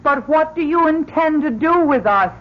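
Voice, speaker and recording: A woman's voice: high-pitched, animated, moderate pace. A woman playing a plucky, wary, resourceful astronaut in an old-time radio drama, plain-spoken and questioning. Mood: worried. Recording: dull old radio recording, faint noise.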